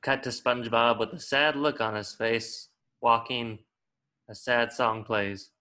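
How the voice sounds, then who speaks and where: A man's voice: medium pitch, steady tone, a little slow, sharp tone. A man reading scripted stage directions as the narrator of a table read.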